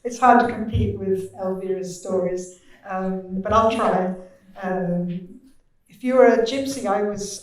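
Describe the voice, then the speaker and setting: A woman translator speaks on a panel in a wry, anecdotal way, with frequent ums. Her voice is a middle pitch for a woman. She sounds distant from the mic in a big, echoing hall. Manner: wry, anecdotal, many fillers. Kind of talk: panel conversation. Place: hall at a literary event. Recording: echoey hall, far from mic.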